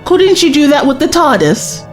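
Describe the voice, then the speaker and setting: A woman's voice: high-pitched, sing-song, normal speed, faint music behind. An actress playing a spirited, sceptical young woman, a traveller's companion in an audio drama, questioning and cheeky. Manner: questioning, cheeky, exasperated edge.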